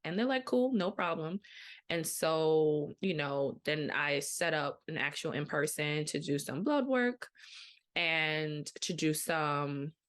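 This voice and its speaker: A woman in her thirties hosting a podcast, telling a personal story in a casual, chatty way with lively quoted asides. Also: low-pitched, slow. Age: 30s. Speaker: podcast host. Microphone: podcast microphone.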